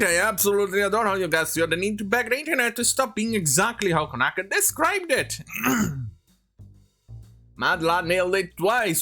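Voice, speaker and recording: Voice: medium pitch, sharp tone. A commentator rambling through a sarcastic, mocking rant, often hesitating while improvising. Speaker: commentary host.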